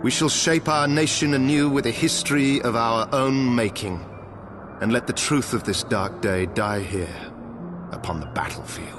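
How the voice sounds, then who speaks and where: A man acting as a solemn knightly lord from a fantasy game. His delivery is grave and formal, declaimed like an oath, with clear music playing behind him. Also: medium pitch, moderate pace, close to an everyday voice. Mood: grave resolve. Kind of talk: dramatic video game cutscene acting. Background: orchestral game music.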